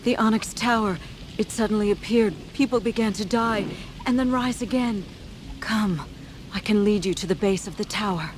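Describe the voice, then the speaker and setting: A woman playing a solemn, dramatic character in a fantasy video game, with halting delivery. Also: medium pitch, a little hiss or hum, lightly acted. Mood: fearful, urgent.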